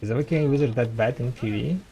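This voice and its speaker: A man whose first language is Russian, with a light Russian accent, chatting with viewers as a game streamer and trailing off in halting restarts. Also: mid-range voice, dark tone, rough recording. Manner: rambling, halting, many restarts. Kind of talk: casual chat with viewers.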